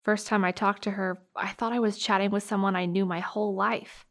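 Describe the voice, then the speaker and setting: A young American woman playing a sympathetic, earnest high school girl recalling a friend in a naturalistic audio drama. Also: flat delivery, brisk, close to an everyday voice. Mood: fond, recounting.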